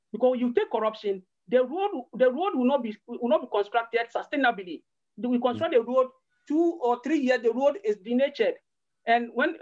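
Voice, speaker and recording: A man in his thirties with a Ghanaian accent, speaking earnestly as an interview guest and repeating words as he searches for them. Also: very high-pitched. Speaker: guest, organisation founder. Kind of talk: video-call interview. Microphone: laptop webcam on video call. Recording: muffled video-call audio.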